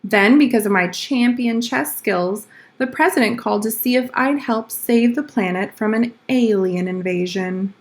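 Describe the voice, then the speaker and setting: A woman's voice: a little high, normal speed, natural voice. A woman voicing a schoolboy from a storybook, earnestly telling tall-tale excuses. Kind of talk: storybook read-aloud.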